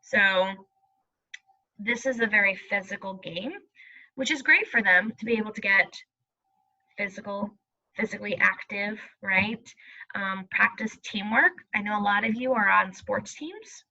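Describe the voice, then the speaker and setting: A woman's voice: medium pitch, measured pace, vocal fry, pushed and bright. A woman teaching young pupils, reading aloud and giving reassuring instructions with frequent checking questions, on a slightly muffled recording. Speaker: elementary school teacher.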